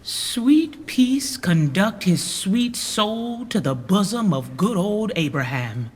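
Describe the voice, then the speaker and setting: An actor playing a commanding lord about to take the throne, with measured Shakespearean radio delivery. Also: male voice, sits high, lively intonation, husky, heavily acted. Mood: solemn surprise. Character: commanding usurper lord about to become king. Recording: long reverberant radio drama sound.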